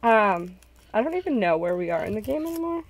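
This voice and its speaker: A woman's voice: mid-range voice, sing-song, fairly quick, noisy background. A woman hosting a group read-along, switching between casual joking chat and story narration, often poking fun at herself.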